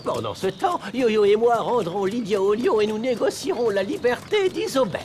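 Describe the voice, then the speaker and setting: A man voicing a courteous, learned little creature adventurer in an animated fairy tale, formal and reassuring. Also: sits high, moderate pace. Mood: confident, reassuring. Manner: formal, reassuring, self-assured. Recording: music behind the voice.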